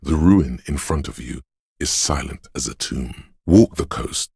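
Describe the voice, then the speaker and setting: A man voicing a brooding, omniscient spirit of a cold city in a video game, narrating in a measured, solemn, ominous way with a gravelly, husky voice. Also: very deep voice, darkish tone, no room sound, clean studio sound, exaggerated voice. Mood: ominous, still. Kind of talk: atmospheric literary second-person game narration.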